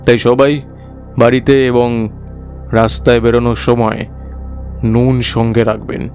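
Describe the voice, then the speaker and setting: A man playing an earnest village schoolmaster who explains things gravely and emphatically in a dramatized animated horror story. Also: medium pitch, a little slow, close to an everyday voice. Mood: firm, instructive. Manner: emphatic, explanatory, repeating himself. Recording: faint music behind the voice.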